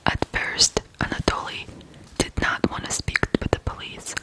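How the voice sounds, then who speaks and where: An ASMR host from Ukraine whispering a true crime story in a hushed, deliberate way with pauses. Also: poor audio.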